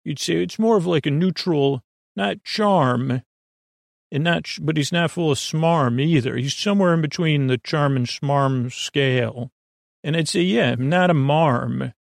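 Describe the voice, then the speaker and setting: A gravelly man with plenty of vocal fry hosts a sleep podcast in a dry, close sound. He meanders and stammers, doubling back on his words. His delivery is self-deprecating and built for bedtime listening. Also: medium pitch, no room sound. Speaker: podcast host. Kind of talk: rambling bedtime podcast monologue.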